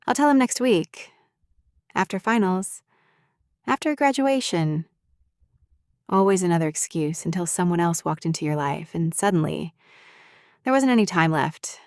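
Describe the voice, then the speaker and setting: A woman playing a lovesick, jealous young roommate in an intimate ASMR roleplay, speaking haltingly and confessionally, straight to the listener. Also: fairly low pitch, lively intonation, darkish tone, smooth, no room sound, clean studio sound. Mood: pleading, earnest.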